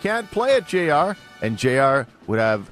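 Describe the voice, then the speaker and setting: A man's voice: a little high, very animated, measured pace, a bit bright, faint music behind. A man co-hosting a sports radio show, bantering casually with dry sarcasm. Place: radio studio.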